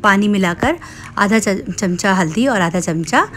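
A woman narrating cooking steps in an unhurried, explanatory way. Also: medium pitch, expressive, a bit bright, slight background noise. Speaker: cooking vlogger. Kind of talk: cooking voice-over.

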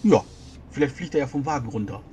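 A man's voice: medium pitch, quick pace, mellow. A man playing a tabletop roleplaying game, thinking aloud hesitantly in casual talk as he works through his move. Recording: faintly noisy voice recording.

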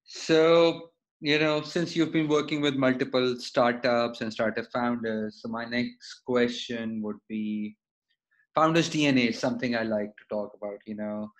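A man hosts a conversational interview show from an indoor room. His voice sits at an average pitch, picked up by a laptop on a video call. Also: fairly even intonation, a little slow, low-quality recording.

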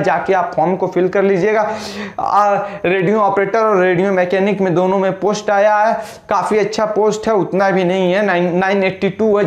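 Young guy on camera pushing exam form advice, highish voice, echoey little room. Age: young adult. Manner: urgent, exhorting, repeats instructions, heavy hand gestures. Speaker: exam coaching YouTuber. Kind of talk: direct-to-camera recruitment advice. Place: small indoor room. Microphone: camera in front, mid-close framing.

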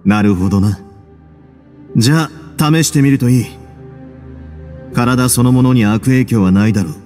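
An actor playing a composed, wry prison duke in a Japanese game, measured and courteous. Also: male voice, medium pitch, darkish tone, soft background music, close to an everyday voice. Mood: calm, serious. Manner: measured, wry, self-assured.

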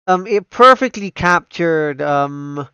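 A man's voice: a little high, expressive, slow pace, bright, forceful tone, smooth, dry recording, dull audio. A man doing casual livestream commentary as an anime fan. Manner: enthusiastic, repeats himself. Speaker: streamer reacting to anime trailers.

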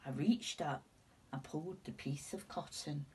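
A woman in her sixties reading a children's storybook aloud in an expressive, deliberate way. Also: sits low, husky. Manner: deliberate, animated telling. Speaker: teacher reading a picture book to children. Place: indoors at home, seated before curtains. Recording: slightly distant room microphone.

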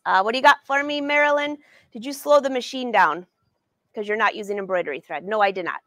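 A woman's voice: on the high side, quick pace, a bit bright, dry recording. A woman in her forties leading a live sewing lesson from her studio, chatting upbeat and answering viewers by name. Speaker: sewing educator and brand ambassador.

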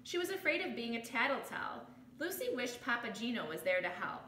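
Teacher lady reading a kids book, bright highish voice, explains stuff, roomy living room sound. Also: a little fast. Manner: expressive, explanatory, addressing children. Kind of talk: children's picture book read-aloud with commentary. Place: small living room, indoors. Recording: roomy home recording with background noise.

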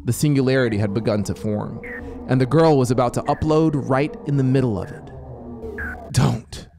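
A man's voice: medium pitch, fairly quick, mellow. A man in his thirties narrating fiction in a theatrical, suspenseful manner, close to a studio microphone with music behind him. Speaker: audiobook narrator. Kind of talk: dramatic scripted narration over a soundscape. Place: small home music studio. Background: music soundscape.

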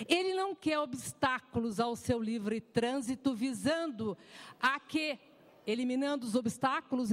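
Woman, 60s, very high voice, emphatic, formal hearing speech, podium mic, large room. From southern Brazil. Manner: emphatic, gesturing with both hands. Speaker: panelist at a public hearing. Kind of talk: formal public address. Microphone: podium microphone.

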